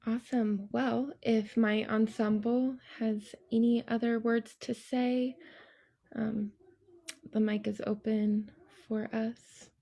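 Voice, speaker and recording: A woman hosting an event, making informal announcements in a polite, halting way. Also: a little high, flat delivery, creaky, dark tone. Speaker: event host.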